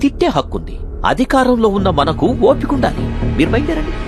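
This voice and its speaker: An actor playing a menacing middle-aged politician in an action film, commanding and moving between reasoning and threats. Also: male voice, very high voice, sing-song, fast, creaky, over music, full character voice. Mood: forceful persuading. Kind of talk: Telugu action film acting. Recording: loud film score behind dialogue.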